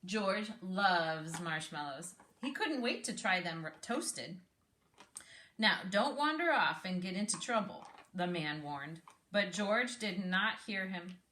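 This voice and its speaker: Bright-voiced woman reading aloud, echoey room. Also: lowish voice, slow, a little hiss or hum. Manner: instructive, prompting with questions, expressive reading. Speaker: speech therapist reading aloud to a child. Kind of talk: read-aloud storytelling with teaching asides.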